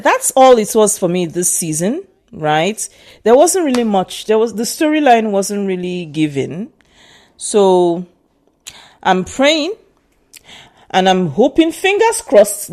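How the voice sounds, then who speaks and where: A Nigerian woman talks straight to her viewers in a casual vlog. Her voice is pitched mid-range for a woman but swings widely up and down. She is emphatic and repeats lines to drive them home. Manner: emphatic and animated, repeats herself for stress. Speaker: vlogger.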